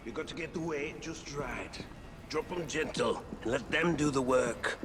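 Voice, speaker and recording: An actor playing a gloating, sadistic Victorian chief warder in a video game, with a rough working-class London accent and a crude, bullying manner. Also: male voice, on the high side, measured pace, noisy background, lightly acted. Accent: English, working-class London. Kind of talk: video game character acting. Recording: music behind the voice.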